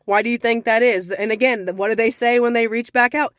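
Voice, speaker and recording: A young woman with a slightly raspy voice from sinus trouble, giving frank dating advice in a casual talk to camera. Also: on the high side, fairly even intonation, fairly quick, a bit bright, dry recording. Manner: frank, conversational, sassy.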